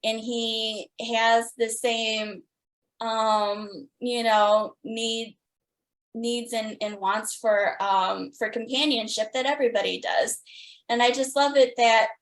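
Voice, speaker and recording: A woman hosting a podcast, talking as an enthusiastic fan in a gushing, halting way. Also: on the high side, fairly even intonation. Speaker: podcast host interviewing guests.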